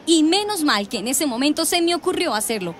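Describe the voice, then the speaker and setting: An actress playing a stern, bossy parents' association president with a Colombian accent, scornful and confrontational. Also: female voice, sits high, sing-song, brisk, slight background noise. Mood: defiant. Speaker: radio drama actress. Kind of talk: radio drama acting.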